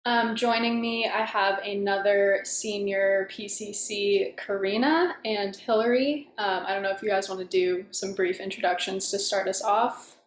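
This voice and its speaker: A woman's voice: mid-range voice, steady tone, a little slow, hardly any pauses. A young woman in her twenties, a senior student moderating a career panel in an upbeat, casual way. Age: 20s. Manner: upbeat, casual, filler ums. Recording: echoey room, faint noise.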